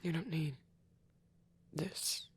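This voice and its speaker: A performer playing a gentle, protective male vampire priest in an intimate ASMR roleplay, speaking softly and haltingly, often in a whisper. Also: medium pitch, heavily acted. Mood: touched, reassuring. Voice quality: soft, breathy, often whispering. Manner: hesitant, tender, halting pauses.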